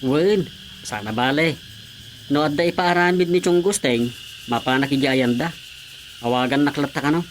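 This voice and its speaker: A man's voice: on the high side, moderate pace, pauses often, slight background noise, lightly acted. A man acting as a cheeky, eager, teasing visiting cousin in a comedy radio drama.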